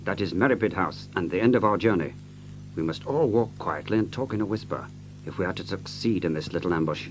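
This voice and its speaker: An actor playing a coolly analytical gentleman detective in an audio mystery drama, precise, theatrical and authoritative. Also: low voice, brisk, music in the background, lightly acted, poor audio. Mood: tense, hushed.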